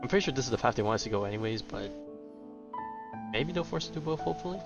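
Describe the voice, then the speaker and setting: A man's voice: medium pitch, lively intonation, very fast, gravelly. A man giving casual gameplay commentary with wry, joking asides. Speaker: game streamer. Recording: dull lo-fi microphone sound. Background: game music.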